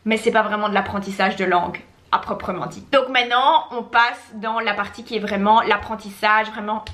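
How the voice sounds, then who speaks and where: A woman's voice: medium pitch, fairly even intonation, brisk, fairly bright tone. A young woman vlogging about language learning, talking casually with frequent restarts and slipping into English mid-sentence. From Belgium. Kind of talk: casual talking-head vlog.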